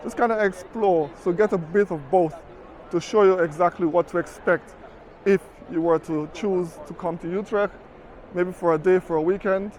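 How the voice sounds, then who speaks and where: A man's voice: high voice, moderate pace, a little mellow. Chatty man vlogging on city streets. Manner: chatty, conversational, fond of "you know". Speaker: travel vlogger. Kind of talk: casual walking travel vlog. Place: outdoors on city streets and at a market.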